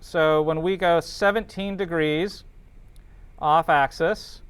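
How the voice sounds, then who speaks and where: Clear-voiced man teaching, thinking aloud. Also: fairly high pitch, fairly even intonation, slow pace. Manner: thinks aloud while working, checks in with students. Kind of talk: informal classroom lecture working through calculations.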